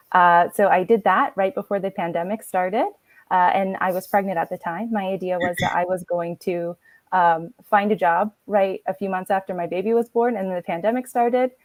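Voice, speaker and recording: A woman's voice: mid-range voice, no echo. A woman in her thirties, a nonprofit program director, telling her story in a friendly, upbeat way with frequent ums. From Philippines, raised in Florida. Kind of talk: casual video-call interview.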